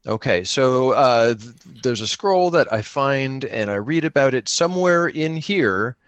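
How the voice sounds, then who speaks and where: A man improvising his way through a tabletop game in casual chat, thinking out loud as he plays. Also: mid-range voice, animated, measured pace, no echo, lo-fi sound. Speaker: podcast player improvising a solo tabletop game.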